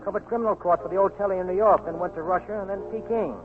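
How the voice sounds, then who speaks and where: A man plays a brash newspaper reporter in a 1940s radio play. He talks fast in a high, breezy voice, cocky and full of wisecracks. The sound is thin, vintage radio. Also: fairly even intonation, natural voice. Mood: brisk, jovial. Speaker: radio drama actor. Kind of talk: 1940s radio drama acting. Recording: muffled 1940s radio broadcast with music.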